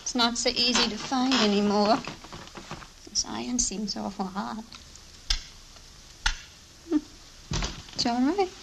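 A high-voiced, worn-out older mother in a vintage radio drama, plain-spoken and bitter as she complains. The old recording is noisy, with small clicks. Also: slow, vocal fry, pauses often. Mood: busy, flustered. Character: small, thin, gray-haired mother running a laundry, bitter and weary. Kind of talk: 1950s radio drama acting.

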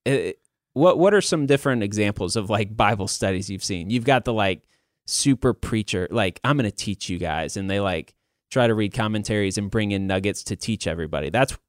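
A man's voice: lowish voice, lively intonation, brisk, dry recording, studio quality. A man hosting a podcast in a casual, conversational way, talking informally to other guys like buddies. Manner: informal, buddy-like address to male listeners. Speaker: podcast host.

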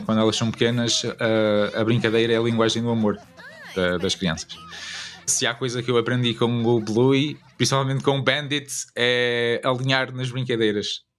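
A man's voice: medium pitch, a bit bright. A man talking casually in an interview as a father. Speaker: father interviewed about parenting.

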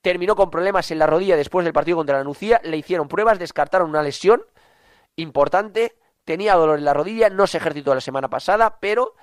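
A man hosts a sports radio show, his voice a touch high for a man and stuffy a cold with a cough. He talks in a chatty way, repeating points for emphasis. The sound is dry and close to the microphone. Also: no echo. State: congested with a cough.

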